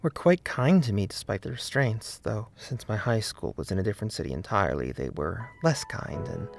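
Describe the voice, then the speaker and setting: A man narrating a scripted video essay in an earnest, deliberate way, with emphasis on key words. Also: fairly low pitch, animated, vocal fry. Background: faint background music.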